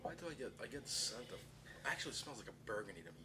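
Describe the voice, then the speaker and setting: A man's voice: on the low side, sing-song, rapid-fire, a crowd in the background. A forty-year-old man, a guest and friend, playful and mock-defensive in casual chat.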